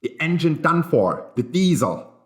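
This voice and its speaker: A man's voice: fairly high pitch, big pitch swings, a little slow, echoey room, pitched above the performer's own voice. A man voicing a flustered railroad superintendent in an audiobook, gasping, exclaiming and giving orders. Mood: shocked, panicked.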